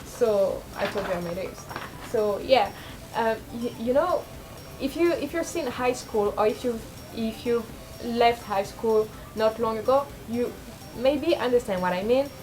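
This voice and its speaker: A young woman with a low speaking voice, rambling and self-deprecating in a casual confessional vlog, full of fillers and restarts. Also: animated, a little slow, darkish tone, soft background music, low-quality recording. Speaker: vlogger.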